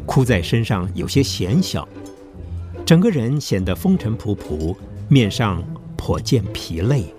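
A man's voice: lowish voice, a little mellow. A man narrating a scripted story in Mandarin as the storyteller of an audio drama. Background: background music.

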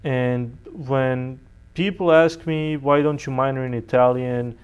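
A young man from Serbia whose first language is Serbian speaks in a clean, smooth voice at a medium pitch. He is a college student giving conversational answers as an interview guest. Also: fairly even intonation, unhurried, lo-fi sound. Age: young adult.

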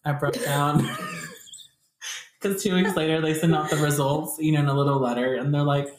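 A woman's voice: deep voice, steady tone, normal speed, a little hiss or hum. A young woman chatting casually with friends, rambling with lots of fillers. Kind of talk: casual chat. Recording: echoey room.

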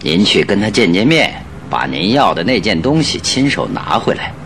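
An actor playing a clever, self-satisfied detective in a Mandarin radio drama, explaining things with assured, theatrical emphasis. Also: male voice, fairly low pitch, big pitch swings, moderate pace, background noise. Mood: confident.

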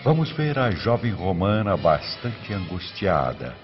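A man giving solemn, measured scripted narration for a radio drama. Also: low voice, very animated. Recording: muffled radio recording over music.

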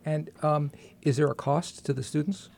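A man's voice: fairly high pitch, steady tone, moderate pace, gravelly, a little mellow, slight background noise. A man with a New York accent hosting a radio interview, chatty and joking at his own expense. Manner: chatty, joking, self-deprecating. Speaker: radio host. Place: radio studio.

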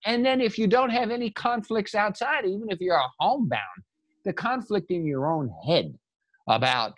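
A man's voice: high voice, animated, normal speed, dry recording. A man co-hosting a casual talk about writing, rambling emphatically and acting out imagined thoughts as he encourages the listener. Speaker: podcast co-host and writer. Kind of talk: animated casual talk, motivational riffing on writing.

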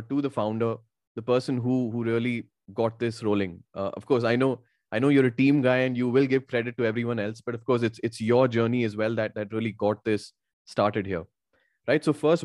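A man's voice: mid-range voice, darkish tone, no echo, studio quality. A man hosting a podcast, chatting with his guest in an encouraging way, often repeating words and ending with "right?". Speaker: podcast host. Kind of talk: casual interview chat.

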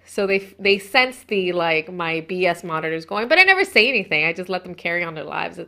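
A woman's voice: on the low side, expressive, fairly quick, sharp tone. A woman in her thirties, a host talking casually to her viewers about spirituality, chatty and meandering, often breaking off mid-sentence to restart. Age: 30s. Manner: chatty, meandering, self-interrupting. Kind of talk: casual spiritual talk to camera.

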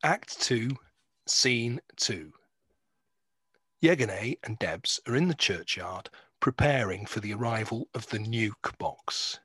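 A man's voice: on the low side, lively intonation, slow pace, a bit bright, husky, no echo. A man reading stage directions as scripted narration for an audio drama.

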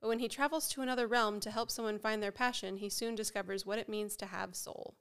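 A woman co-hosting a casual podcast chat with a head cold, sounding nasal and froggy, chatty and quick to laugh, with many fillers. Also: medium pitch, fairly even intonation, a bit bright, clean tone. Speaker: podcast co-host.

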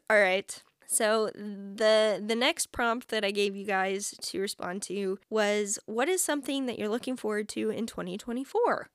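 A woman's voice: medium pitch, dry recording. Chatty young woman, dry close sound. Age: 20s. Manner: chatty, informal, heavy use of "like". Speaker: podcast host. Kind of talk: casual solo podcast chat.

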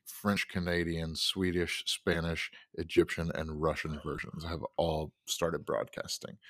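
Male podcast co-host, very deep, dry close mic, halting, fact-listing delivery. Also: fairly bright tone, no echo. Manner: dry, reads off facts with hesitant fillers. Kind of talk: casual chat.

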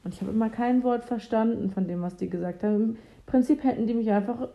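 A young woman chatting casually in a relaxed, slangy, self-deprecating way. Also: on the high side, fast, vocal fry, highs cut off. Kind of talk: casual conversation.

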